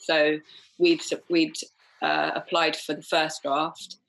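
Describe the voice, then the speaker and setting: A woman from Wales, chatting as a podcast guest, full of fillers and quick laughs. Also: sits low, completely flat delivery, vocal fry, fairly bright tone, rough recording. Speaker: film director guest. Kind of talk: casual podcast chat.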